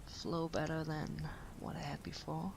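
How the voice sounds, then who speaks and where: Caring late-night writer partner played by a woman, soft and breathy with some creak, slow hesitant murmur, sleep-aid audio roleplay. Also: low voice, level tone, background noise. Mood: quietly musing. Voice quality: breathy, half-whispered. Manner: gentle, hesitant, unhurried murmuring.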